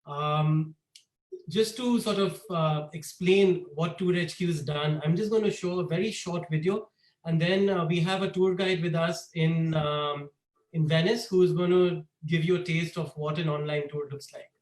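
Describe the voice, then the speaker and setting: A man's voice: on the high side, normal speed. Man presenting in an echoey room. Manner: relaxed, many fillers. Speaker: startup co-founder presenting. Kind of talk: webinar presentation.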